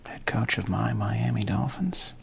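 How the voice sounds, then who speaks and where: A man's voice: lowish voice, a little slow, vocal fry, slight background noise. A man casually commenting while opening packs of trading cards, with wry jokes and half-whispered asides. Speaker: card collector opening packs.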